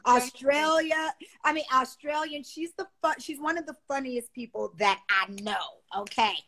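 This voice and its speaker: A woman's voice: high-pitched, normal speed. A woman hosting a casual livestream from her bedroom, chatting animatedly on her phone. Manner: animated, exclamatory, gestures a lot. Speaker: livestream host. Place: bedroom, seated on a bed. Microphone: phone on a video call.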